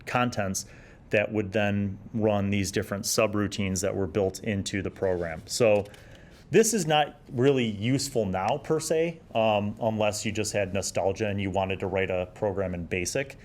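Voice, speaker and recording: A man's voice: lowish voice, moderate pace. A man in his forties talking casually on his own as he hosts a review show, reflective and explanatory in a conversational way. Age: 40s. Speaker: host of an old gaming review series. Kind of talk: casual solo review and reminiscence.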